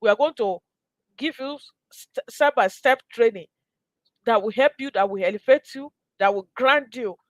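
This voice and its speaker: A woman's voice: a little high, normal speed, no echo. A woman with a Nigerian accent hosting a promotional live session, earnest and exhortatory, repeating phrases for emphasis. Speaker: host, mentor. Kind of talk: promotional live pitch to viewers.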